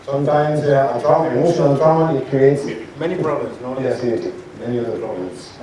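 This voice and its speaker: A man's voice: mid-range voice, dark tone. Man lecturing in an echoey hall. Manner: halting, restarts sentences, asks audience rhetorical questions. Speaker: lecturing doctor. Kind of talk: informal lecture to an audience. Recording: echoey hall, from a distance, faint noise.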